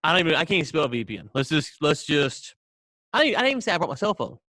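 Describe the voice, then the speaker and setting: A man's voice: fairly high pitch, big pitch swings, fast, sharp tone, dry recording, studio quality. An American man co-hosting a podcast, telling stories casually in a rambling, mock-serious, joking way. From United States. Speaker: podcast co-host. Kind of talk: casual storytelling chat.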